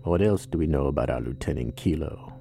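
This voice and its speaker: An actor playing an authoritative, formally polite boss of a secret group in an audio drama, speaking in a measured, commanding way. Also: male voice, rumbling low, animated, vocal fry, mellow, slight background noise, exaggerated voice. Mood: intrigued.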